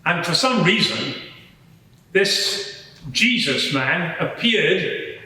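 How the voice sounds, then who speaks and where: A man playing a matter-of-fact Roman centurion giving hesitant, measured testimony as a witness at an inquiry. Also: high voice, lively intonation, pushed and bright, a little hiss or hum, exaggerated voice. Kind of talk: semi-improvised courtroom testimony. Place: large reverberant courtroom. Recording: very echoey hall, distant mic.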